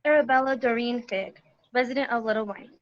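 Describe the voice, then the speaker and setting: A woman's voice: on the high side, fairly quick, natural voice. A woman playing a nervous, shaken witness giving earnest, hesitant testimony in a table read, on muffled audio. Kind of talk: amateur online table read of a screenplay.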